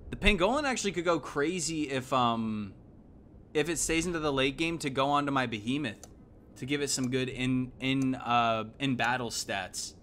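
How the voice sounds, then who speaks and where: A man, giving casual, slangy, animated live game commentary. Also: medium pitch, moderate pace, a little hiss or hum. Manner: animated, exclaiming, thinking aloud. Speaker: game streamer. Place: indoor room at home.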